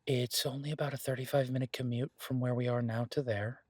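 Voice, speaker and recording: An earnest, easygoing boyfriend and chef acted by a man talks casually in an intimate fantasy roleplay. His voice is husky and mid-pitched, reassuring and a little halting, recorded dry with no room sound. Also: little pitch movement, darkish tone, no room sound, close to an everyday voice. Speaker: audio roleplay performer. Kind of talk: intimate one-sided audio roleplay, conversational.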